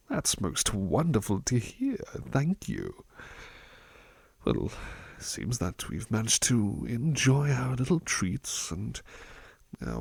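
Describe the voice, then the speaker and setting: A man playing a gentle, doting, courteous butler in a softly spoken ASMR roleplay, with tender, polite and somewhat hesitant delivery. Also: medium pitch, creaky, husky, no echo, heavily acted.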